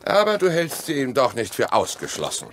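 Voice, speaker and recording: An actor playing a needling, evasive husband in a radio play, with a dry, measured, goading manner. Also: male voice, mid-range voice, huge pitch swings, fairly bright tone, a little hiss or hum.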